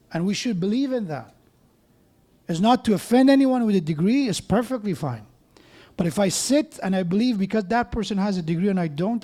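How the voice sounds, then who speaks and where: Guy giving a sermon at a mosque, pretty high voice, talks loose and conversational. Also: dark tone. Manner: conversational, with joking asides and many restarts. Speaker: preacher.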